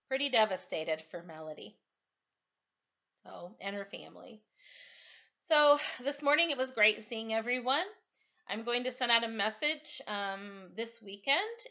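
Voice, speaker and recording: A middle-aged woman speaks as a teacher to her young listeners. Her delivery is expressive, with a mid-range voice. The sound is slightly dull, like a simple device microphone in a living room. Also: mid-range voice. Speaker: teacher reading aloud to pupils. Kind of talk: storytime reading of a novel, then informal address to pupils. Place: living room at home, on a couch.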